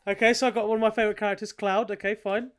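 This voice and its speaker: A British man hosting a casual podcast, chatting in an animated, sweary, bantering way. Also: sits high, very fast, smooth. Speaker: podcast host. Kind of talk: casual chat.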